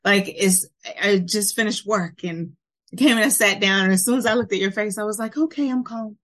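A woman hosts a podcast interview, gushing with praise and thanks. Her voice sits at a middle pitch and she speaks in a casual, chatty way. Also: moderate pace, a bit bright. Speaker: podcast host.

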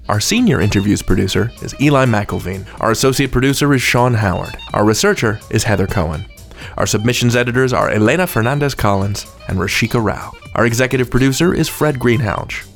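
A man hosts a podcast with a very lively, swinging delivery over faint music. He reads scripted sign-offs and credits, slipping in playful asides. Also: medium pitch, vocal fry. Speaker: podcast host.

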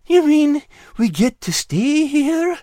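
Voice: high voice, sing-song, slow pace, dark tone, no room sound, studio quality, voice pushed up, exaggerated voice. A narrator voicing a timid, naive little male animal in a children's audiobook. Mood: trembling relief.

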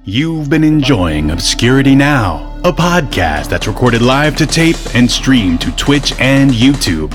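Animated male announcer over music. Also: medium pitch, a little slow, hardly any pauses. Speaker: podcast announcer. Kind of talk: scripted outro narration. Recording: voice over clear background music.

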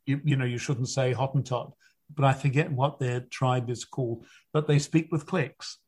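A man's voice: mid-range voice, normal speed, husky. A man in his sixties, an audiobook narrator, telling rambling anecdotes in an interview with lots of restarts. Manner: rambling, restarts often, animated storytelling.

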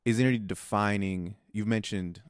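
A man hosting a livestream interview and putting questions from the chat to his guest in a relaxed way. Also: on the low side, moderate pace, smooth, dry recording. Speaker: host.

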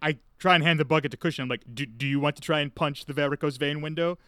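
Man, tabletop game player, casual chat, bright forceful tone, slightly high pitch, dry recording. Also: steady tone, quick pace, smooth, dry recording.

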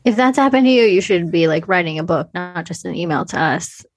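A woman's voice: lowish voice, animated, brisk, slight background noise. A woman co-hosting a podcast, chatting in an informal, giggly and self-deprecating way. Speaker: podcast co-host. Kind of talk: casual chat.

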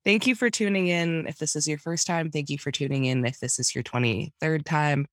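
A young woman co-hosting a casual chat podcast, playful and quick to laugh, sounding sick with a cold. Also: sits low, no room sound, clean studio sound. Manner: playful, laughing often, announcer-like. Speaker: podcast co-host.

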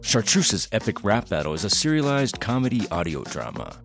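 Deep-voiced male podcast trailer announcer. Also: wildly swooping pitch, brisk, over music. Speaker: promo announcer. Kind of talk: scripted podcast trailer narration.

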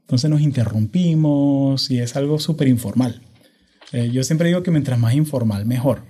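A Venezuelan man in his thirties hosts a relaxed podcast conversation. His voice is dark and mellow, but his delivery is energetic and hyperkinetic, with English terms slipping into his Spanish. It is recorded close on a studio microphone. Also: mid-range voice, moderate pace, slightly rough. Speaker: podcast host.

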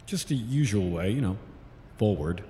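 A man's voice: lowish voice, expressive, moderate pace, warm tone, lightly acted. A man playing a wry, easygoing scientist in an audio drama, with casual delivery. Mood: warm, composed. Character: male scientist, wry, easygoing team member. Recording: echoey, noisy ambience.

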